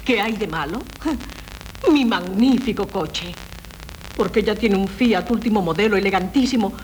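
An actress from playing a chatty, complaining wife in a 1970s radio drama, mocking and dramatic. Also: female voice, mid-range voice, expressive, a little slow, slight background noise, lightly acted, low-quality recording. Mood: indignant.

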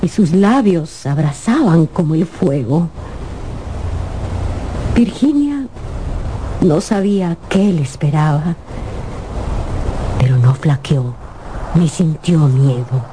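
A woman narrator telling a dramatic scripted story for radio in an expressive, measured way. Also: low voice, background noise. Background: music.

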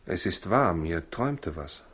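An actor in a 1960s German radio play as a proud working husband torn by doubt, husky, weary and defensive. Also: male voice, low-pitched, animated, a little slow, fairly bright tone, full character voice.

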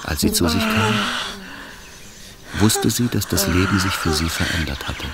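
A man's voice: deep voice, wildly swooping pitch, measured pace, heavy background noise. A man reading literary radio drama narration in a solemn, intense manner. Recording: loud music under the voice. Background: music.

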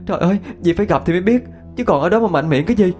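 A man's voice: on the high side, measured pace, mellow, faint music behind, voice pushed up, lightly acted. A man voicing a frightened, timid young female student in a ghost story, stammering and pleading. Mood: upset.

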